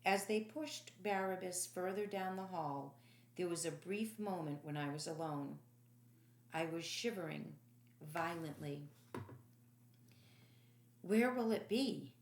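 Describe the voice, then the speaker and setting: A woman reading dramatic first-person audiobook narration in a solemn, deliberate, emphatic way. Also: on the low side, a little hiss or hum. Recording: echoey room.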